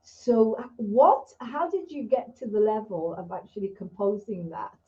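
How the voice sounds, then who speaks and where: A woman's voice: mid-range voice, sing-song, a little slow. A woman raised in Israel hosting an interview over a video call, talking in an emphatic, rambling, heartfelt way. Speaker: host. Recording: dull lo-fi video call audio.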